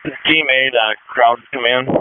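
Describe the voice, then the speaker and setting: A man's voice: medium pitch, steady tone, measured pace, smooth, dry recording. A male police officer giving brief status reports to command over a two-way radio. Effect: two-way radio transmission. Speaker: police team member on radio. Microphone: two-way radio handset. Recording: tinny narrowband police radio.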